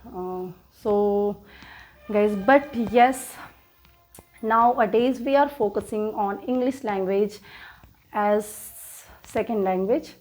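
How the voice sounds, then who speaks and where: A woman from India, native in Hindi, with an Indian accent, hosting her own English-learning channel and talking slowly in learner's English, full of fillers and restarts. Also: mid-range voice, darkish tone, soft background music. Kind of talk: casual motivational talk to viewers.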